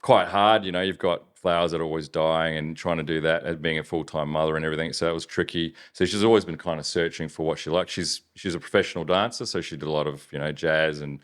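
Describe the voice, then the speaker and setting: A man from New Zealand with a softened Kiwi accent, chatting casually as a business owner in an interview, rambling with many restarts. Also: very deep voice, fairly even intonation, fast, hardly any pauses. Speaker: business owner, podcast guest.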